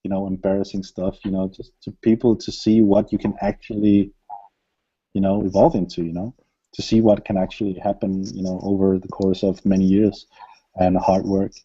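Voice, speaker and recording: A man's voice: sits low, normal speed, slightly rough, muffled recording. A man, a music producer, chatting casually in an interview and rambling with lots of fillers. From Denmark. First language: Danish. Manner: relaxed, rambling, many fillers and laughs. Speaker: electronic music producer, guest.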